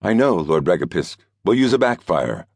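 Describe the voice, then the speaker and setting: A man voicing a young lord who commands a fire brigade in an audiobook, formal, brisk and decisive. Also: deep voice, sing-song, vocal fry, a little mellow, dry recording, heavily acted. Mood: urgent, commanding. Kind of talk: audiobook character dialogue.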